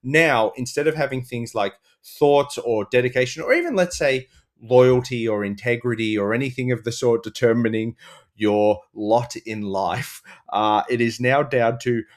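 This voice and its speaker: A man's voice: mid-range voice, normal speed. An Australian man riffing as a comedy panellist in exasperated, sweary, rambling rants.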